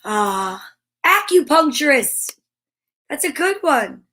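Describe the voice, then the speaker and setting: A woman's voice: sits high, very animated, takes it slowly, pushed and bright. A woman hosting a live children's story time, reading aloud and chatting to viewers with playful, encouraging exclamations. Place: at home.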